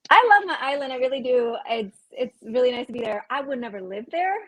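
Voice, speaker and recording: A woman's voice: sits high, expressive, fairly quick, a bit bright, no room sound. Upbeat young woman from Puerto Rico. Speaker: guest, boutique owner. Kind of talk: casual interview chat.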